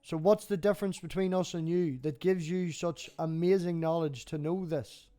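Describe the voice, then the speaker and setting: A man's voice: fairly high pitch, steady tone, moderate pace, dark tone. A man hosting a casual debate chat, talking in a blunt, sweary way. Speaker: host.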